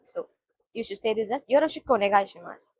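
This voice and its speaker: A woman's voice: medium pitch, expressive, husky. A woman from India, teaching a beginner Japanese lesson, repeating each phrase and explaining word by word. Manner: repetitive, explains each word slowly with many "so" restarts.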